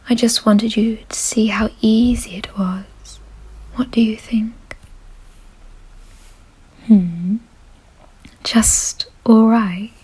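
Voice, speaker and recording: A woman playing a kind, doting aristocratic vampire in an ASMR roleplay, in a soft, breathy whisper with a gentle, genteel, reassuring manner. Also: medium pitch, poor audio. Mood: calm, reassuring.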